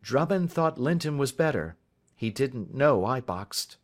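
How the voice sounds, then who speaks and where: A man's voice: mid-range voice, lively intonation, a little slow, pitched above the performer's own voice, lightly acted. A man reading aloud, voicing a nervous, polite teenage schoolboy who answers a teacher hesitantly. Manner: hesitant, polite, saying sir.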